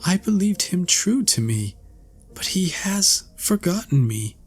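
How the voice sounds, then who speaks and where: A gentle young fairy-tale maiden, voiced by a man, speaks in a raised, tender pitch. The delivery is plaintive and faithful, with old-fashioned wording. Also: very animated, a little slow, breathy edge, heavily acted. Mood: sorrowful, plaintive. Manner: tender, plaintive, archaic phrasing.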